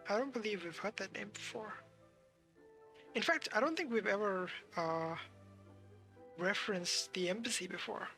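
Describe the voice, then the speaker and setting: A game streamer giving casual live commentary, thinking aloud in halting fragments over game music. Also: medium pitch, sharp tone.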